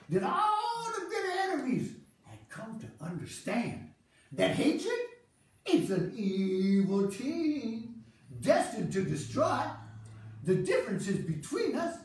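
A woman's voice: a little high, voice pushed down, exaggerated voice. Woman doing a peace-preaching Rasta man. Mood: visionary. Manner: loud, hearty, preaching, slow and drawn out. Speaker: festival performer. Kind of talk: comic stage monologue, visionary speech. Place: outdoor festival stage. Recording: echoey stage sound from a distant mic.

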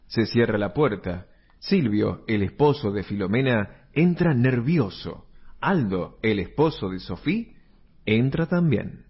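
A husky man narrates a radio play from a script. His pitch is lively and the recording is dull and lo-fi. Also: takes it slowly.

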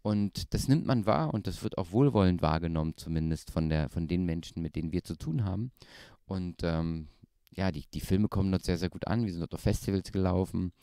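A man in his thirties talks easily through an interview, his voice low and mellow. He hesitates often with fillers and restarts, staying relaxed. The handheld mic sounds dry and close. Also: a little fast, clean tone, no echo. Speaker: actor interviewee. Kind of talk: casual interview answers. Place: small indoor room with pale walls. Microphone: handheld microphone.

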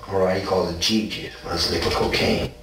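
A man's voice: deep voice, steady tone, brisk, a bit bright. A man in his forties from South Africa and Argentina answers in a police interrogation, insistently, often checking with "okay?". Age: 40s. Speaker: suspect being interrogated. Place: small bare indoor interview room. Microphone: distant fixed room camera microphone. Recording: roomy, distant, noisy surveillance recording.

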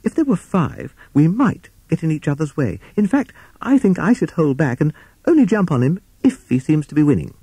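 High, stammering, cowardly toad. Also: male voice, very animated, normal speed, warm tone, slightly rough, no echo, heavily acted, poor audio. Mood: nervous, hedging. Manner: stammering, hesitant, anxious. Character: timid cowardly male toad, nervous and flustered. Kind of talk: children's animal storybook character.

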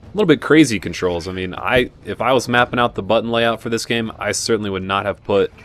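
Deep-voiced man casually narrating gameplay. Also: fast. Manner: relaxed, chatty, with fillers and asides. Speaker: game guide narrator. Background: game music and gunfire.

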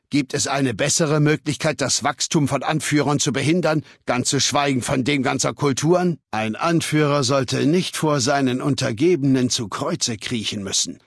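An actor playing a commanding, haughty warlord in a video game, speaking in an imperious, measured, lecturing manner. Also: male voice, medium pitch, vocal fry, husky, no room sound, clean studio sound. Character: commanding, haughty male warlord ruling a legion. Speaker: video game character, faction leader. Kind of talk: scripted game dialogue in a German dub. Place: dry studio recording.

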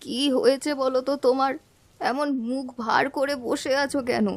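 A woman voicing an affectionate, gently reproachful elderly village mother in a cartoon family drama. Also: sits high, steady tone, normal speed. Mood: concerned.

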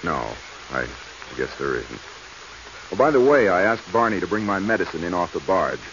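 Grumbly dry partner, deep voice that swings around, old radio drama. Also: male voice, very animated, moderate pace, a bit bright, heavily acted. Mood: dry, skeptical. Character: skeptical, mildly grumbling male treasure-hunting partner. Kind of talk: 1940s radio acting. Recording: muffled 1940s radio, faint hiss.

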